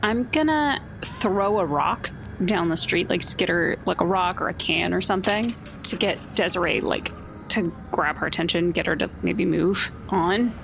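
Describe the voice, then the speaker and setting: A woman's voice: lowish voice, lively intonation, normal speed, vocal fry, over music. A woman playing a tabletop game, reasoning through choices in casual hedged phrases. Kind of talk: casual play talk. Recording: noisy background hiss.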